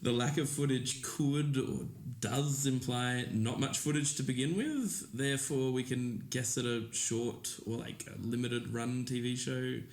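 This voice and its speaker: A man's voice: mid-range voice, unhurried, few pauses, roomy sound, close to an everyday voice. An actor playing an earnest amateur podcast host, speaking conversationally and a little hesitantly as he thinks aloud. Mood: curious.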